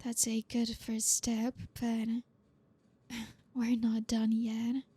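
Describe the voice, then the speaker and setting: Breathy, slow-talking, dominant female boss played by a woman. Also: on the high side, completely flat delivery, full character voice. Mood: teasing, commanding. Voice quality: soft, breathy, often near whisper. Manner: slow, commanding, teasing, with pauses. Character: flirty, dominant female boss teasing a new employee. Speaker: ASMR roleplay performer. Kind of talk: flirty ASMR roleplay. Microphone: close mic, dry.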